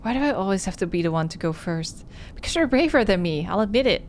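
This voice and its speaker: A woman's voice: mid-range voice, expressive, fast talker, close to an everyday voice. An anxious young survivor in a horror game, voiced by a woman streamer, speaking urgently as if danger is close. There is slight noise behind the voice. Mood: wary.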